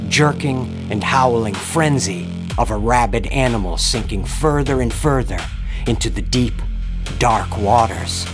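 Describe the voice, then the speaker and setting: A man narrating a dark horror story in a dramatic, deliberate and ominous scripted style. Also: mid-range voice. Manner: ornate, deliberate, ominous phrasing. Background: background music.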